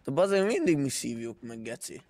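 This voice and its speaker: A man's voice: mid-range voice, sing-song, darkish tone, no room sound. A man chatting casually in a roleplay game, with crude joking. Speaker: fellow roleplay gamer.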